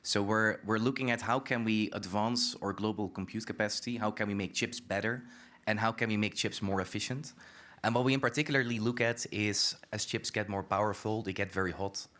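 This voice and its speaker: Startup founder guy on a panel, slightly low voice, explaining stuff. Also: fairly even intonation, fairly quick, fairly bright tone, a little hiss or hum. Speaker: startup chief executive on a panel. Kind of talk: explanatory self-introduction.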